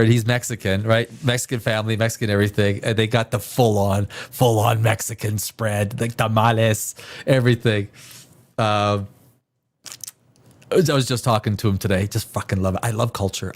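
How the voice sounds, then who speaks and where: A man hosting a live stream, chatting casually with his viewers and reading their comments. He rambles and repeats himself, and sounds stuffed up from a cold. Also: fairly low pitch. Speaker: livestream host.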